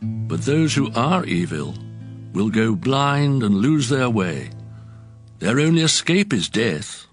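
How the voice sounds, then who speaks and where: Stern preachy elder-brother type, self-righteous, admonishing, unhurried, very varied intonation, audio scripture drama. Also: male voice, mid-range voice, sing-song, faint music behind. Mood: earnest exhorting.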